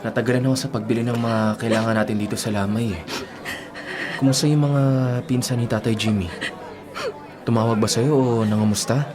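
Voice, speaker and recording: An actor in a radio drama playing a gentle young working man who softly consoles and looks after his girlfriend. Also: mid-range voice, fairly even intonation, a little fast, pauses often, crowd noise, close to an everyday voice. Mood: concerned. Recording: prominent music behind the voice.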